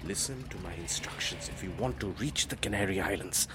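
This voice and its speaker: A man playing a brisk, commanding people smuggler in an audio drama, giving curt orders. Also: sits low, background noise, close to an everyday voice. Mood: stern, urgent. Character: brisk, commanding smuggler running boat crossings to Europe.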